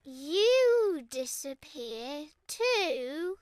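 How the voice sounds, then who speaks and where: A man voicing a cheerful, proud little male magic bunny in a bedtime story, bouncy and gentle. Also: very high-pitched, sing-song, extremely slow, no echo, voice pushed up, heavily acted.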